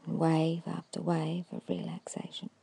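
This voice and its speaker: Breathy whispering woman, low and hushed. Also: monotone. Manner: slow, soothing, instructing with long pauses. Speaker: hypnotist guiding a meditation. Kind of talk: ASMR guided hypnosis meditation.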